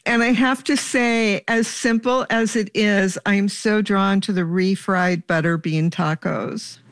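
A woman's voice: mid-range voice, steady tone, unhurried, dry recording. A woman presenting and interviewing as the host of a show, moving between prepared lines and conversation. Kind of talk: scripted introduction and conversational interviewing.

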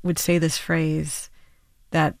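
A woman's voice: low-pitched, little pitch movement, slow pace, gravelly, no room sound, studio quality. A woman in her thirties, a musician, talking casually in an interview with laughs and "like". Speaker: musician guest. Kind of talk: interview conversation.

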